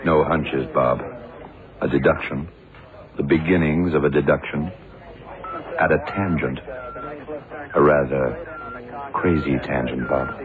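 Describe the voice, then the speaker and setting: Very deep-voiced brooding detective, clipped phrases, muffled old radio drama sound. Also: male voice, crowd noise. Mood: brooding, businesslike. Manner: deliberate, clipped short phrases. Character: shrewd, brooding male police detective in charge. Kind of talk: 1970s radio drama acting. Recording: muffled old radio broadcast, background din.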